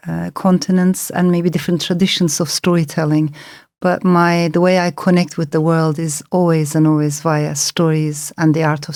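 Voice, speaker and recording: A middle-aged woman who grew up in Turkey, speaking English as a later language and answering questions at an unhurried, reflective pace. Her voice sits a little low, and she pauses and restarts as she searches for the words. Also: darkish tone. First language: Turkish. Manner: thoughtful, searching, with frequent fillers and restarts. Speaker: novelist guest.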